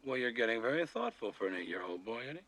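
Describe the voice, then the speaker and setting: Patient sitcom father, affectionate, gently wry, swinging intonation, noisy old soundtrack. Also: mid-range voice, very animated, fast talker. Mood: warm, affectionate. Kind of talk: naturalistic television sitcom acting.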